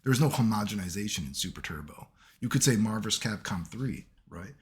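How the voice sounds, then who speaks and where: A man, hoarse from being sick, giving casual analytical commentary as a video host and checking in with "right?" at nearly every turn. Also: lowish voice, animated, a little fast. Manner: keeps asking "right?" as he lectures, swears casually.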